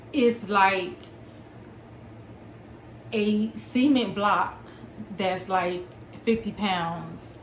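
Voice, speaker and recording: A young adult woman talking to her viewers, stuttering with long pauses and blocks and smacking her lips between words. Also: medium pitch, echoey room, a little hiss or hum, poor audio. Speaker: small business owner and author. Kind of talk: personal talk to camera.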